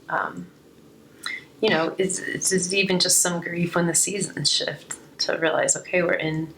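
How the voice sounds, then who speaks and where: Female tarot reader, low register, a touch hoarse, reflective and unscripted delivery, some noise behind the voice. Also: fairly bright tone, poor audio. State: slightly hoarse. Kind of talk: unscripted spiritual card reading, reflective.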